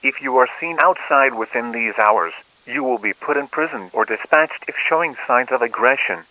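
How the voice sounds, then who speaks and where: A man's voice: mid-range voice, moderate pace, no echo, low-quality recording. A man playing a grim government emergency announcer, reading scripted warnings in a stern, official way over a radio. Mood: stern, urgent. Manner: stern, flat, official delivery.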